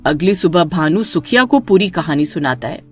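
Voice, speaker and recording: A woman's voice: low-pitched, expressive, moderate pace, breathy edge, faint music behind. A woman narrator reading a scripted horror story.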